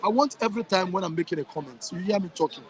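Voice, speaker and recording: A man's voice: sits high, animated, very fast. A man with a Nigerian accent giving passionate, emphatic interview answers, repeating his phrases as he pushes his point. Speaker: guest.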